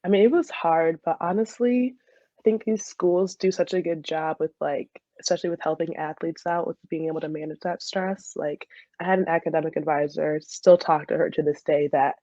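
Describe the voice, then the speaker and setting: A woman's voice: deep voice, fairly even intonation, a little fast, warm tone, dry recording. A young American woman chats casually as a podcast guest, telling stories in a giggly manner. The recording is dry and somewhat dull, with lo-fi sound. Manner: giggly, laughs often while talking. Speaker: interview guest, former college soccer player. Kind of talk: casual chat, anecdotal.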